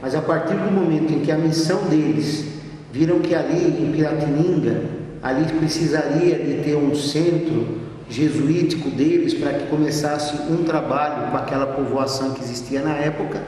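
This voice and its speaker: A man's voice: fairly high pitch, moderate pace, dark tone, slight background noise. A young man from São Paulo with a Brazilian accent, explaining things casually in a conversational interview. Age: young adult. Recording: very echoey hall, distant mic.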